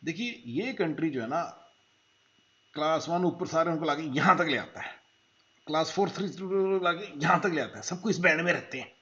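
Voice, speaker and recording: A man's voice: on the high side, animated, normal speed, fairly bright tone, dull audio. A man hosting a reaction video, commenting sarcastically and mocking what he hears. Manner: mocking, puts on accents and laughs.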